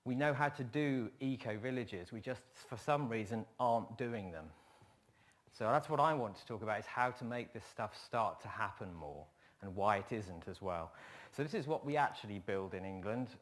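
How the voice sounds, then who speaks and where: A man's voice: lowish voice, animated, normal speed. A man who works as a planner giving a conference talk, wry and emphatic, repeating his points.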